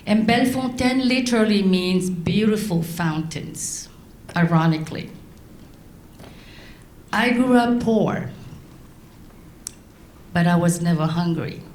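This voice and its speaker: Slow, deliberate middle-aged woman speaker. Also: mid-range voice, lively intonation, darkish tone, roomy sound, a little hiss or hum. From Laos, raised in France. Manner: slow, deliberate delivery with long pauses. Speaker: guest speaker. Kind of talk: prepared speech.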